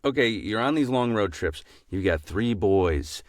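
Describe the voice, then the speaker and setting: A man's voice: lowish voice, lively intonation, dry recording, studio quality. A man co-hosting a podcast, asking questions in a playful, teasing way. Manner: playful, teasing, quick to laugh. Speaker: podcast co-host. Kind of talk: casual podcast conversation.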